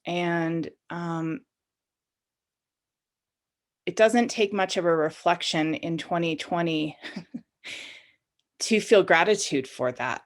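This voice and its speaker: A woman's voice: lowish voice, slow, long pauses. A woman leading a guided meditation in an informal, conversational and inviting way, as the guide of the session.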